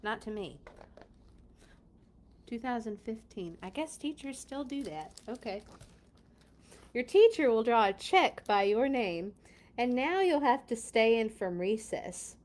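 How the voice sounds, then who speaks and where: A woman reading a children's storybook aloud in a playful, expressive way, with casual chatty asides. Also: fairly high pitch, a little slow, pauses often. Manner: playful, expressive, exclaims and comments while reading.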